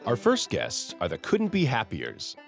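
A man's voice: medium pitch, huge pitch swings, measured pace, creaky, a bit bright, soft background music. A man reading a scripted introduction as the host of a radio show. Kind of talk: scripted broadcast narration.

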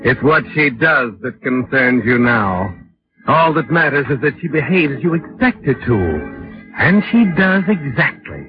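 An actor playing an ominous, knowing storyteller who taunts the culprit in dramatic, deliberate 1940s radio suspense narration. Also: male voice, medium pitch, big pitch swings. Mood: knowing, taunting. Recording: dull vintage radio with loud music.

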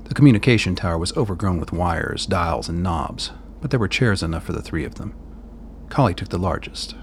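A man's voice: low-pitched, animated, a little fast, slight background noise. A man narrating a scripted radio play as its storyteller.

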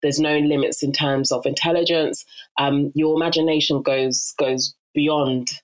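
A young adult woman from Ghana, a guest on a podcast, talking in a casual, animated way with frequent laughs and fillers. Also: low voice, unhurried, rough recording. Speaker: podcast guest, actress and narrator. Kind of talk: casual interview chat.

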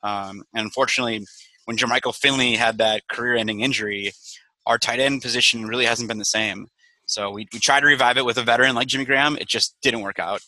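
A man's voice: medium pitch, fairly even intonation, fast talker, bright, forceful tone, no room sound, low-quality recording. A man from Wisconsin who owns a brewery, talking candidly and energetically on a video call, swearing now and then. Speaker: brewery owner. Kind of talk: casual video call chat.

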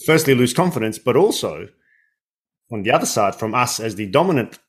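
A man's voice: medium pitch, expressive, a little fast, breathy edge. A man hosting an interview podcast who reflects on and builds on his guest's points. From Australia. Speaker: podcast host. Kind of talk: conversational interview.

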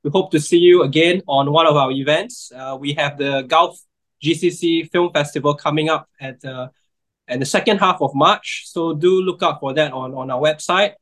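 A man's voice: a little high, moderate pace, fairly bright tone. A man hosting a public book talk, closing the event in a friendly, slightly rambling way and thanking the guest and audience. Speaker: event host and moderator.

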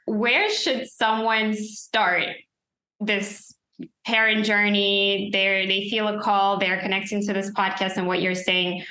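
A woman's voice: mid-range voice, bright, forceful tone, reverberant, low-quality recording. A woman hosting a podcast, upbeat and encouraging as she asks questions. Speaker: podcast host. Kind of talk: casual interview chat.

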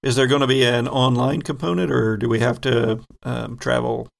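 A man's voice: mid-range voice, fairly even intonation, moderate pace, creaky. A man hosting an online tabletop game podcast, easygoing as he steers the crew's plugs before play. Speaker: game master and host.

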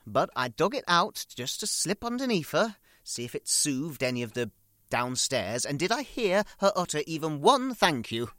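A man's voice: fairly high pitch, very animated, moderate pace, a bit bright, no echo, studio quality. A man playing a fussy, self-pitying grown son in an audio drama monologue, chattily grumbling and rambling in darkly comic asides. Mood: exasperated.

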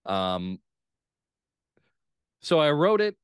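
A man's voice: a little high, very animated, drawn-out words, smooth, no room sound. Earnest man in a dry recording. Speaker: playwright, interview guest. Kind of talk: reflective interview talk.

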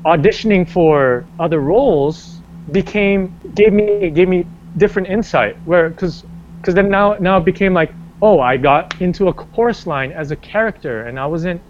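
A man's voice: moderate pace, slight background noise. Rambling male actor on a dull, lo-fi line. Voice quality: bass singing voice. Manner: rambling, filler-heavy, many restarts. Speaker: stage and screen actor, interview guest. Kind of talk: casual interview talk. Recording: dull lo-fi sound.

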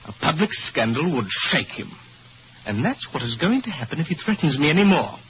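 A man playing an arrogant, brusque English expatriate gentleman in a radio drama, curt and demanding in manner. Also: fairly high pitch, big pitch swings, a little fast. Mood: demanding, indignant. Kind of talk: old-time radio drama acting. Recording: dull, noisy old radio recording.

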